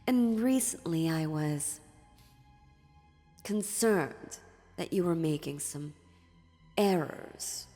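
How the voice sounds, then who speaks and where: A woman's voice: sits low, lively intonation, dark tone. A woman playing an ancient, dominant demon in a fantasy audio roleplay, mocking and menacing, with a condescending, theatrical delivery. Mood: smug. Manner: slow, condescending, sardonic, theatrical. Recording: long reverberant hall, music underneath.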